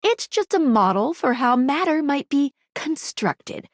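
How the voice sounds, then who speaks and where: Quirky nerdy talking object guide from a video game, highish and super animated, playful explainer, really dry sound. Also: female voice, a little slow, dry recording. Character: chatty quirky female sentient object guide, upbeat and nerdy. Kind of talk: scripted video game character dialogue.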